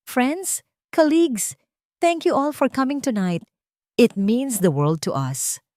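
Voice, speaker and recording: A woman's voice: high voice, big pitch swings, slow pace, a little mellow, no echo, studio quality, pitched above the performer's own voice, exaggerated voice. A Filipino woman voicing a polished, scornful wealthy wife with a gushing, falsely gracious manner in a narrated story. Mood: gracious, showy.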